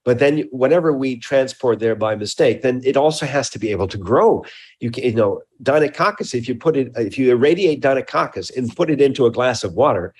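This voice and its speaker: A middle-aged or older man, a research scientist speaking as an interview guest, explains technical matters in a deliberate, precise way. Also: mid-range voice, lively intonation, a little mellow, husky.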